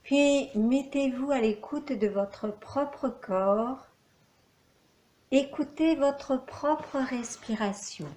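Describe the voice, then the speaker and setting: A woman with a fairly high voice guides a relaxation meditation at an extremely slow pace. She gives calm, measured instructions as a yoga nidra teacher, placing each short phrase on its own and leaving long pauses between them.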